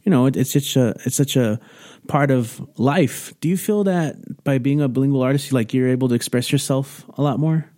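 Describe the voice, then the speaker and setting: A man's voice: medium pitch, normal speed, warm tone. A man hosting a podcast interview, chatting casually, rambling through his questions, restarting them and slipping in jokes. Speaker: podcast host.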